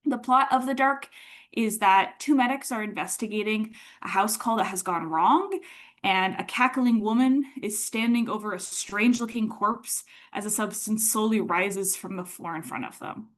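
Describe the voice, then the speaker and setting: A woman with a mid-range voice gives an explanatory lecture. Her delivery is measured and thoughtful, with academic phrasing that sounds partly prepared. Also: medium pitch. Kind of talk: explanatory online lecture, semi-scripted.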